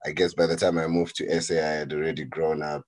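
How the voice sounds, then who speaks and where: A man's voice: very deep voice, flat delivery, fast talker, darkish tone. A man in his thirties with a Zimbabwean accent, chatting casually as an interview guest about himself. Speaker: podcast guest, actuary. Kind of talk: casual interview chat, recounting background.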